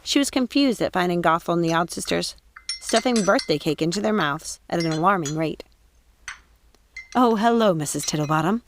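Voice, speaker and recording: A woman narrating a storybook in an expressive, animated way. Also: lowish voice, a little fast, slight background noise. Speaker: audiobook reader.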